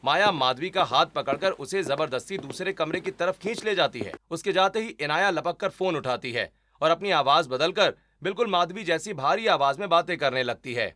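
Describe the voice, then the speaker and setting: Male storyteller, bright forceful delivery, fairly high pitch, expressive dramatic family-story narration. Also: normal speed, few pauses.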